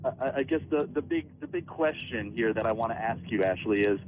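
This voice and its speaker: A man confronting his partner on a radio show, halting and accusatory, often repeating his words. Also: mid-range voice, narrow, tinny audio, slight background noise.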